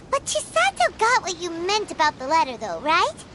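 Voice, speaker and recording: A woman voicing a tiny, excitable, blunt fairy companion in an anime-style game, with a squeaky childlike voice. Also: measured pace, a little hiss or hum, full character voice. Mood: worried. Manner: exclamatory, refers to self in third person.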